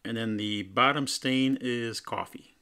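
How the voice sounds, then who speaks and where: A man's voice: medium pitch, measured pace, bright, forceful tone, clear, resonant tone. A man narrating a plain, matter-of-fact home test of laundry detergents as a product reviewer.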